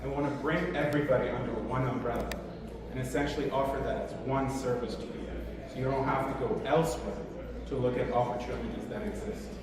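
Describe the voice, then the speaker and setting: A man, a financial advisor, making a relaxed sales pitch to a conference audience, with some fillers and restarts. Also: fast. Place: large conference hall. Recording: echoey hall, distant mic, crowd noise. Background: crowd chatter.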